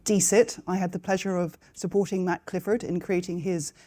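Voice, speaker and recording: A woman's voice: lowish voice, steady tone, normal speed, warm tone. A woman in her fifties with a Northern Irish accent, introducing herself as a panelist. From Northern Ireland. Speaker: government AI adviser, panelist.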